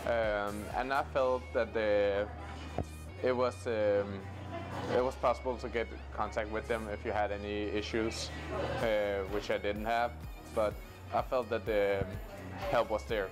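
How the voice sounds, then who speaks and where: A man's voice: lowish voice, flat delivery. Hesitant Danish-accented young man. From Denmark. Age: 20s. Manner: hesitant, many "uh" pauses. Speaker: exchange student. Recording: music playing under the voice.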